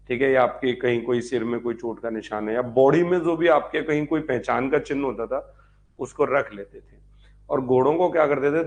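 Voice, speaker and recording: Male history lecturer, mid-pitched voice, dictates in repeated phrases and poses questions he then answers. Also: dull audio. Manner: repeats phrases slowly for note-taking; asks and answers his own questions. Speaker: history teacher. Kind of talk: live exam-prep lecture with dictation.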